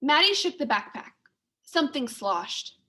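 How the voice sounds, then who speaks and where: A woman teacher reading a children's storybook aloud to young pupils in an expressive manner. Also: high-pitched, a little slow. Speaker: second-grade teacher.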